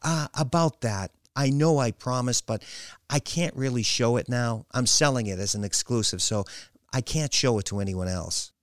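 A man voicing an excitable, defensive young woman with an eager, hesitant manner in an audiobook story. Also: medium pitch, moderate pace, no echo, studio quality, pitched above the performer's own voice, natural voice.

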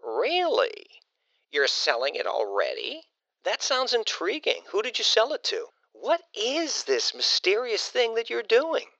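A man's voice: high voice, wildly swooping pitch, measured pace, sharp tone, no room sound, dull audio, voice pushed up, full character voice. A man voicing a sarcastic, controlling middle-aged mother with a dry, pointed manner in an audiobook story. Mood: suspicious.

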